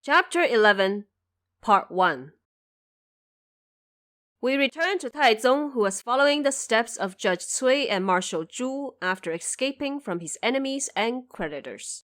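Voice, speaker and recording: A woman giving scripted storytelling narration for an audio drama, with expressive, careful phrasing. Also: a little high, measured pace.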